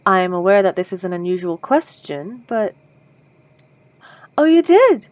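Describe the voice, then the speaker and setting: A woman's voice: mid-range voice, very animated, a little slow, darkish tone, no room sound, lo-fi sound. A woman voicing a polite, lovestruck female vampire in an ASMR roleplay, speaking formally and old-fashioned with nervous stammers.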